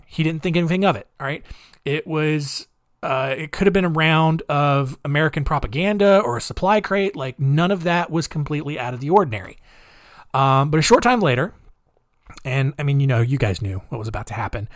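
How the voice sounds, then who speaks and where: A man hosting a casual storytelling podcast, chatty and animated, talking straight to his listeners as guys with lots of asides, moving between loud emphasis and quiet remarks. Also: on the high side, no echo, clean studio sound. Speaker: podcast host.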